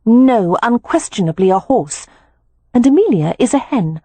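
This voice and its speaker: An earnest, matter-of-fact young woman, voiced by a woman reading an audiobook who adores animals. Her voice sits a little high and swings freely in pitch. The tone is warm and breathy. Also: big pitch swings, moderate pace, dry recording.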